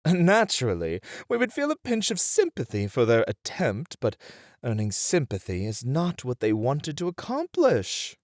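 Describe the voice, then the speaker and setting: A performer playing a poised, playful etiquette tutor in a scripted ASMR roleplay, speaking with refined, deliberate phrasing. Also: mid-range voice, huge pitch swings, no room sound, studio quality. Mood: playful instructing.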